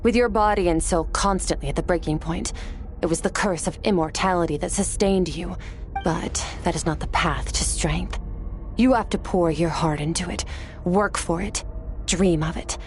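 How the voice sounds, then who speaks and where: A woman playing a disciplined young warrior from a fantasy game speaks with cool composure. Her voice sits on the low side, blunt and stern. Faint music runs underneath. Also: moderate pace, natural voice. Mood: stern, composed. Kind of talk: dramatic video game voice acting.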